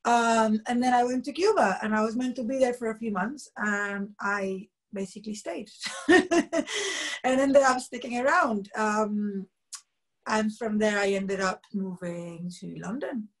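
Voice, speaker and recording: A woman in her thirties from Luxembourg with a husky voice, telling stories animatedly with frequent laughs over a video call from home. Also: on the high side, a little slow. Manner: animated storytelling, laughs often. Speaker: musician guest. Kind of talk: casual video call interview. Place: home room, indoors.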